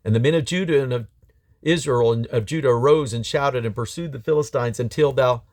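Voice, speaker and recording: A man's voice: medium pitch, brisk, a little mellow. A man reading scripture aloud as a Bible reader, in a solemn, emphatic storytelling manner.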